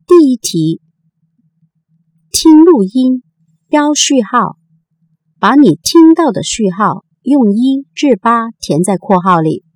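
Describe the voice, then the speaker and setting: A woman reads a textbook listening exercise aloud, slow and deliberate, with every word clearly shaped. Her voice sits fairly high and moves a lot in pitch. The sound is dry, close and studio-clean. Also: sing-song, darkish tone, no echo. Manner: slow, careful, deliberate enunciation. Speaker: listening-test narrator.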